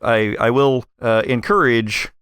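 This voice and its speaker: A man narrating an actual-play game as game master, deliberate and atmospheric, with dramatic pauses. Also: medium pitch, fairly bright tone, clean tone, no room sound, clean studio sound.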